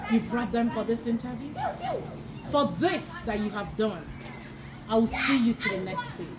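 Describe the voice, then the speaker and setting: High lively voiced woman telling her story at a park, lots of background noise. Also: moderate pace. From Nigeria. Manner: animated, laughing often, acts out quoted voices. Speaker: bank employee speaker. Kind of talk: storytelling talk at networking event. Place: outdoor park. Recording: noisy outdoor recording.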